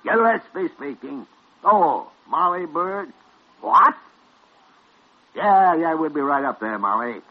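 Gruff old physician, husky, swooping intonation, teasing, vintage radio drama. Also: male voice, fairly high pitch, expressive, slow, pauses often. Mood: brisk. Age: older. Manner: gruff, blunt, wry. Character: crusty old senior doctor, gruff and teasing. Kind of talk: 1940s radio drama acting. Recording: muffled old radio recording.

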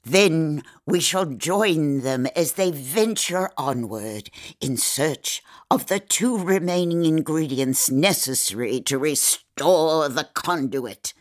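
A woman's voice: deep voice, lively intonation, takes it slowly, hardly any pauses. An actress playing a grumpy, sardonic old lady who tells a theatrical story in a comedy audio drama. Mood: theatrical narrating.

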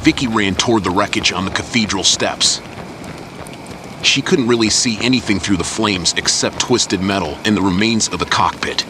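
A man's voice: fairly low pitch, normal speed, background noise. A man narrating a scripted action story as an audio drama. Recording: loud dramatic score and battle effects behind.

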